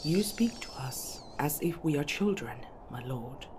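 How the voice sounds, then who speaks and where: A woman's voice: sits low, expressive. A woman playing the eldest elven warrior sister in a fantasy audio roleplay, composed, formal and commanding. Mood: reproachful. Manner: measured, formal. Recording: faint noisy ambience.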